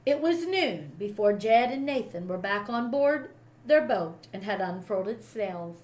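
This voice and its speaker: A woman reading a children's history story aloud in an expressive storytelling style. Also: medium pitch, a little slow. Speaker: storybook reader.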